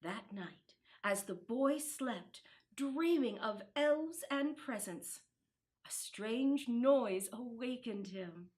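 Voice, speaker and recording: A woman reading a children's Christmas storybook aloud in an expressive, deliberate way. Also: a little high. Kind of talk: storybook narration.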